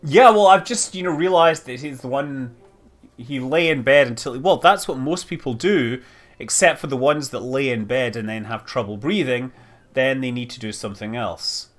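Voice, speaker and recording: A man's voice: mid-range voice, normal speed, a bit bright. A man giving casual live stream commentary as he plays, rambling, thinking aloud and exclaiming over details. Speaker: streamer playing a space building game.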